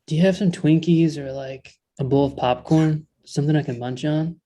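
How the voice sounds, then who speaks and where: A man's voice: fairly high pitch, fairly even intonation, normal speed, a crowd in the background, natural voice. A man playing a suave, laid-back male movie star in a comedy table read, lazy and casual.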